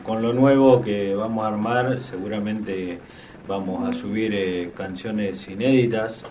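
A man from southern Patagonia with an Argentine Spanish accent, a guest on a radio show, talking haltingly with many fillers. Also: lowish voice, fairly even intonation, warm tone, slight background noise. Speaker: guest musician. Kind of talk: radio interview chat.

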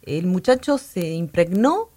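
A woman's voice: lowish voice, very animated, measured pace, mellow. A woman, agreeing often and talking about local music in a casual chat. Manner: agreeable, frequent affirmations.

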